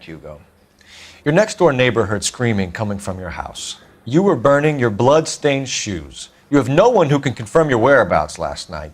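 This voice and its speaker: A man playing an earnest, driven 1940s police detective in a crime video game, speaking in a clipped, insistent, formal way. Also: medium pitch. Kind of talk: dramatic period crime game acting.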